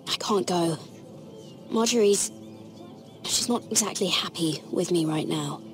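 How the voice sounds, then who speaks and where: A woman's voice: on the low side, unhurried, lightly acted. A young woman playing a guarded, determined young noble handmaiden in a video game drama. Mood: worried, guarded. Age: young adult. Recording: music playing behind the voice.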